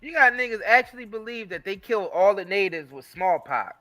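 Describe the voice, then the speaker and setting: A man's voice: high-pitched, animated, fairly quick, pushed and bright, faint music behind. An American man co-hosting a casual talk show, blunt and slangy, with plenty of swearing. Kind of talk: casual opinionated chat.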